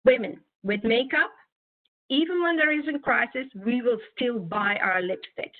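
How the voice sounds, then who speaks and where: A middle-aged woman from Belgium with a fairly high voice talks earnestly as a guest speaker, pausing on fillers and restarting her sentences. Her audio comes through a thin, phone-like video call line. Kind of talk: webinar talk.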